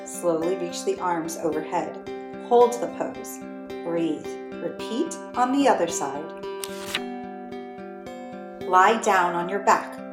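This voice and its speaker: A woman reading guided yoga instructions for children in measured short phrases with deliberate pauses. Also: on the low side. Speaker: storytime host and yoga instructor reading aloud. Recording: roomy echo with plucked guitar music behind.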